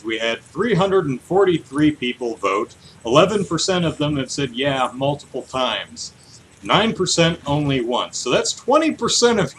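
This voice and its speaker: Animated man podcasting, noisy background. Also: medium pitch. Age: 30s. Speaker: podcast host. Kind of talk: casual chat. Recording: clear steady background noise.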